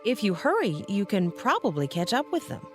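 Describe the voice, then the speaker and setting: A woman's voice: medium pitch, big pitch swings, moderate pace, soft background music, lightly acted. A woman playing Rahab, an anxious, pleading woman of ancient Jericho, in a dramatized audio Bible. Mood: urgent.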